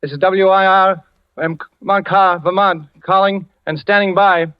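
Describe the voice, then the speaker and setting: A man playing an earnest, anxious engineer and ham radio operator in a 1940s radio thriller, talking in brisk, slangy calls. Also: sits high, fairly even intonation, smooth. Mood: brisk, upbeat. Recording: old muffled, roomy radio recording.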